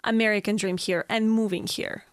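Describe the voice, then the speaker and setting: A woman hosting a podcast, asking questions and backing the guest with steady mm-hmms. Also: medium pitch, moderate pace, clear, resonant tone, dry recording, studio quality. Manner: warm, lots of mm-hmm backchannel. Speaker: podcast host, interviewer. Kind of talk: interview questions.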